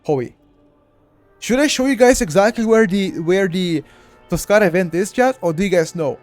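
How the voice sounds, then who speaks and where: Bulgarian guy streaming a game guide, high voice, chatty, keeps talking to chat. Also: normal speed, darkish tone, soft background music. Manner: chatty, addresses chat directly, repeats himself. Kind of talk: live-streamed gameplay walkthrough.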